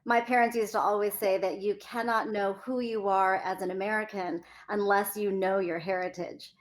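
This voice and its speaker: A woman's voice: mid-range voice, fairly even intonation, moderate pace. A woman from California leading an interview conversation, speaking in a polished, articulate way. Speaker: host.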